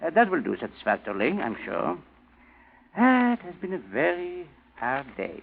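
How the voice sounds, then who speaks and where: Anxious male impostor, halting and evasive, gravelly creak, 1940s radio drama, thin noisy recording. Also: fairly high pitch, huge pitch swings, narrow, tinny audio, full character voice. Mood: weary, guarded. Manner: hesitant, evasive, stammering. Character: anxious male impostor posing as a returned camp survivor.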